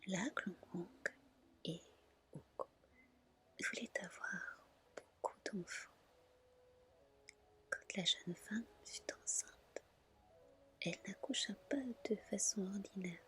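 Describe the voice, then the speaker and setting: A woman softly and gently reading a fairy tale as an ASMR storyteller. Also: medium pitch. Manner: soft, gentle, unhurried narration.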